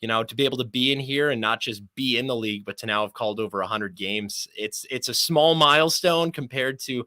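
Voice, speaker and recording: A young man from Michigan speaking as a sports announcer being interviewed, articulate and measured, with humble, elaborate phrasing in a conversational style. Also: mid-range voice, bright, forceful tone, no room sound.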